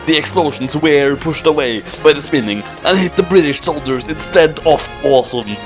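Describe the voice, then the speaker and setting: A man's voice: a little high, moderate pace. A man doing a dramatic narration of an amateur action story. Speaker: narrator reading a fan fiction aloud. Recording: music playing behind the voice. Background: music.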